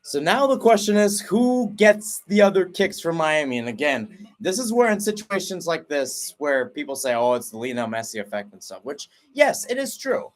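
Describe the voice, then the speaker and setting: Excited young man calling a penalty shootout. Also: moderate pace. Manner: energetic, jumps in pitch and volume at each kick. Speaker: radio play-by-play announcer. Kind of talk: excited sports commentary. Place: at home, not at the stadium.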